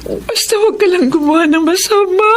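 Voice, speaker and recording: A woman's voice: extremely high pitch, brisk. A woman playing a poor, loving, morally firm sickly mother in a Filipino radio melodrama, plaintive and earnest, with a Filipino accent. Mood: tearful, pleading.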